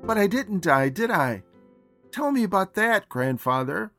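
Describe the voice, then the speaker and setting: Man playing a pleading young boy, pitched up. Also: big pitch swings, a little slow, fairly bright tone, faint music behind, heavily acted. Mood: eager. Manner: earnest, pleading. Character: young boy, anxious, loving, pleading with his grandfather. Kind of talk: storybook read-aloud.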